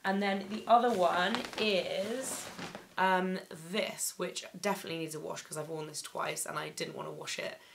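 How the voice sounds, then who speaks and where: Slightly croaky young woman vlogging. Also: fairly low pitch, fairly even intonation, normal speed, slight background noise. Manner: chatty, enthusiastic, many ums and likes. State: slightly croaky after laryngitis. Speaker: fashion vlogger. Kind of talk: casual haul vlog. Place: bedroom, indoors.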